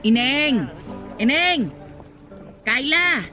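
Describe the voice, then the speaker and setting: A woman's voice: high-pitched, expressive, extremely slow, full character voice. An actress playing a preachy, prying older village woman in a radio drama, chiding and questioning. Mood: calling out. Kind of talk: radio drama acting in Tagalog. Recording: background music under the voice.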